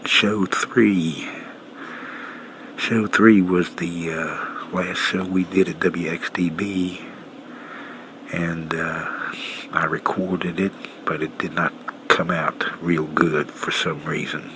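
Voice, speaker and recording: Very low, gravelly, slow man. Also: fairly even intonation. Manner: halting, low-key. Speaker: host of an old-time radio show. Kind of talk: casual unscripted sign-off talk. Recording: clearly noisy home-made recording.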